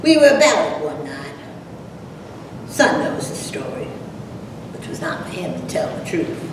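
A woman plays a haunted old farm widow with a buried secret, telling it theatrically in a lowish voice. It is a dramatic poetry reading, heard from far off in a very echoey, noisy hall. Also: big pitch swings, unhurried. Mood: bitter confession. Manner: theatrical, storytelling. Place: large lecture room, at a podium. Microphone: distant camera microphone.

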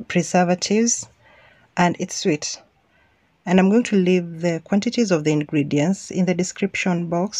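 A woman's voice: on the low side, a little mellow, no echo. A woman hosting her home cooking channel, talking casually over the footage with frequent restarts and ums.

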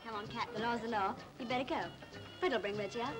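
An actress plays a coy, bossy young woman with an English accent in an old film drama. Her voice is fairly high and speedy, with music on the soundtrack. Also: fast, natural voice. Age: young adult. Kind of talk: 1940s British film acting.

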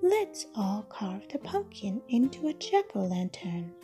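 A woman voicing a kind young boy from a storybook, speaking gently and fondly. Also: mid-range voice, sing-song, measured pace, over music.

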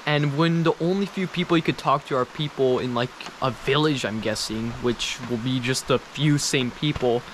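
Young male travel vlogger, casual rambling delivery, medium pitch, self-deprecating. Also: moderate pace, hardly any pauses, slight background noise, poor audio. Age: young adult. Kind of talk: casual chat to camera. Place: outdoors at a rural mountain train station in rain.

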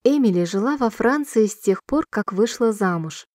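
A woman reading short sentences slowly and clearly for a language-listening drill, repeating each one in a measured, even way. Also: mid-range voice, dry recording, clean studio sound. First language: Russian. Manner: clear, measured, evenly paced repetition. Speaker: Russian sentence reader.

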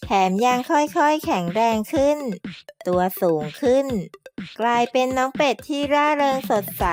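A woman narrating a scripted children's story, explaining things to young listeners as friends. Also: on the high side.

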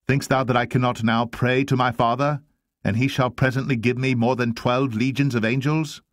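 A man voicing a grave, authoritative teacher in a solemn, measured, exhorting manner. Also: low voice, steady tone, no echo, clean studio sound. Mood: firm reproach.

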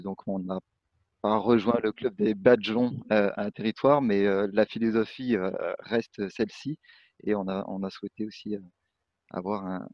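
A young man presenting in a webinar from his office, halting and full of fillers and restarts. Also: on the low side, no echo. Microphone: video call. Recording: muffled video call audio.

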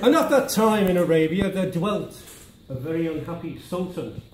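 Older man as high-pitched ceremonious panto vizier. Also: lively intonation, normal speed, natural voice. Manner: theatrical, formal announcing. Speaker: narrator. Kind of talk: amateur pantomime stage narration. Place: village hall stage, indoors. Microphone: distant camera in the audience. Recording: echoey hall, distant, very noisy.

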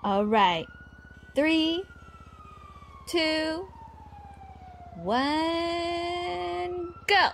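A woman in an improvised roleplay scene, speaking in a teasing, deadpan way. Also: very high voice, very slow, gravelly, long pauses, a little hiss or hum. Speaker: roleplay player.

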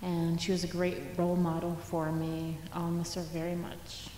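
A woman playing an earnest mourner in an audio drama, halting and plain-spoken. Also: lowish voice, monotone, warm tone, lightly acted. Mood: earnest, subdued. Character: earnest female mourner giving a tribute. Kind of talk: audio drama acting, short tribute. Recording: echoey hall, faint noise.

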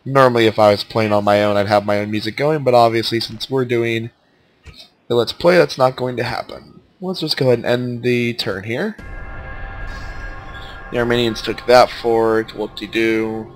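A man with a stuffed-up, nasal voice giving relaxed, casual commentary while playing a strategy game. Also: medium pitch, normal speed. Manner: laid-back, thinking aloud as he plays. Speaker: let's play commentator.

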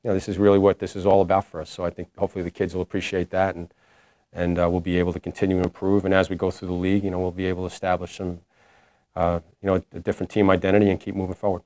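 A man in his forties, a head basketball coach, answering postgame questions in a halting way with many restarts. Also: low voice, little pitch movement, darkish tone, dry recording. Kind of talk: postgame press conference answers. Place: indoor press room before a logo backdrop. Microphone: lapel mic.

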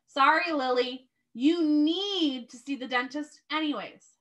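A woman's voice: high-pitched, a little slow, a bit bright. A woman voicing a friendly, patient father in a children's storybook, speaking with instructive emphasis. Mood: firm but kind. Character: friendly, patient dad teaching his young daughter. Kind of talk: children's storybook read-aloud.

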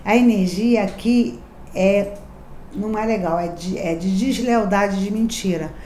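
A woman's voice: mid-range voice, a little slow, mellow. A Brazilian woman in her thirties, a tarot reader talking to camera in a chatty, affectionate, confiding way, with emphatic repetitions. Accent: Rio de Janeiro Portuguese. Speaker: tarot reader and channel host. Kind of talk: daily tarot reading to camera. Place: decorated home room, indoors. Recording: echoey room, faint background hiss.

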